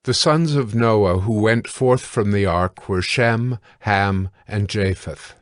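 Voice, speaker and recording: Slow, measured male scripture narrator. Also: on the low side, no room sound, studio quality. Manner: measured, sober.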